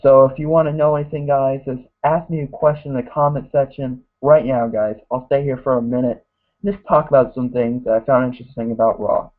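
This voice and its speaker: A man reviewing a wrestling show in a rambling casual way, saying "guys" constantly and getting hoarse. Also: medium pitch, steady tone, a little fast. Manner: mocks wrestlers in put-on voices. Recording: tinny phone-like lo-fi audio.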